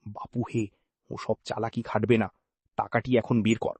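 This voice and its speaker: A man's voice: lowish voice, flat delivery, a little slow, a little mellow, breathy edge, dry recording, voice pushed down. A man voicing a sly, persuasive, blustering male lawyer in a theatrical, argumentative storybook manner. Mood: coaxing, demanding.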